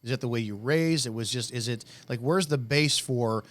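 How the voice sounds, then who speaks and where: A man in his forties hosting a podcast, asking questions and sharing his views in a lively, conversational way. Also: mid-range voice, normal speed. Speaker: podcast host. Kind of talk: casual interview chat.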